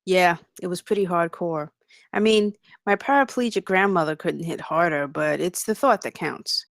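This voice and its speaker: An actress playing a tough, flippant young campus guard in a comedy table read. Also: female voice, on the low side, moderate pace, no echo, clean studio sound, natural voice.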